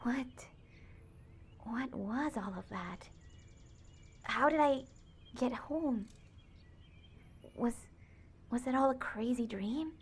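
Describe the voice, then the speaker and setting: A woman's voice: fairly high pitch, slow, pauses often, slight background noise. A young woman playing a flustered, anxious, awkward girl in an audio drama, stammering and hesitant. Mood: confused, alarmed.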